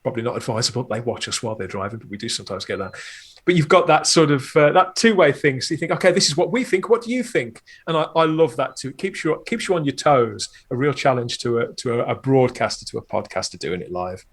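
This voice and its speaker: A man with a mid-pitched voice talks as a podcast producer in an interview. He is chatty and enthusiastic, laying things out at length in a conversational way. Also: lively intonation, fast talker, slightly rough. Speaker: podcast host and producer, guest.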